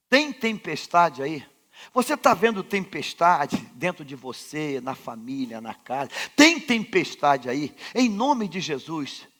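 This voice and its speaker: A Brazilian pastor with a Rio de Janeiro accent, preaching a sermon in a large church sanctuary, who poses rhetorical questions and repeats refrains, swinging from quiet to loud. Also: male voice, fairly high pitch. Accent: Rio de Janeiro Brazilian Portuguese.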